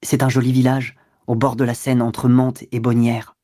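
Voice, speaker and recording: A reader voicing a cunning young man who calmly reassures his companion. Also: medium pitch, normal speed, no echo, clean studio sound, lightly acted. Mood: reassuring. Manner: smooth, casual reassurance. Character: cunning young man, calm and self-assured. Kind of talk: audiobook character reading.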